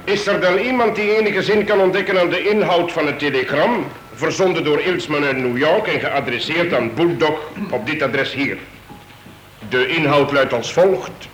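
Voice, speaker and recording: A man's voice: fairly high pitch, normal speed, fairly bright tone, close to an everyday voice. Man playing a formal police inspector, echoey. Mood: questioning. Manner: formal, questioning. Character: polite male police inspector. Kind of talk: vintage radio play acting. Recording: echoey room.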